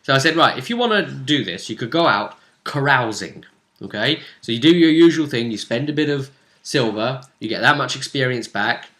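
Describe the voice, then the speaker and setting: Bright-voiced man chatting as game master. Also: mid-range voice, lively intonation, normal speed. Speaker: game master and vidcast host. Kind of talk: casual recap chat about a tabletop game.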